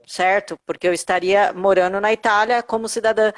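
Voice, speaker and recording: A woman's voice: medium pitch, fairly even intonation, brisk. A Brazilian woman, a lawyer, explaining things step by step on a live video call, with lots of fillers and tag questions. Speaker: lawyer guest on Italian citizenship.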